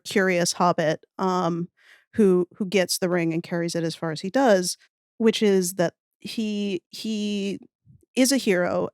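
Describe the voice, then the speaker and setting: A woman's voice: fairly low pitch, a little mellow, no echo, studio quality. A woman in her thirties chatting as a podcast guest in a thoughtful, wry, nerdily enthusiastic way. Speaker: podcast guest, audio fiction writer. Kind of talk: casual interview conversation.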